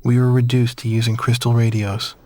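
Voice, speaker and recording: An actor playing a reflective man who recalls his wartime childhood in measured, somber game-story narration. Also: medium pitch, level tone, warm tone, no room sound, studio quality, natural voice. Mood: wistful, somber. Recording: music behind the voice. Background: prominent music.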